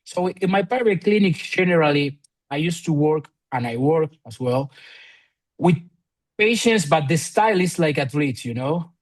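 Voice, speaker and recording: A man's voice: a little high, lively intonation, low-quality recording. A man from Argentina in his late thirties with an Argentine Spanish accent, a physiotherapist speaking English as a second language with many fillers and restarts in a podcast interview. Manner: halting non-native English with frequent fillers and restarts. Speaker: physiotherapist and researcher guest.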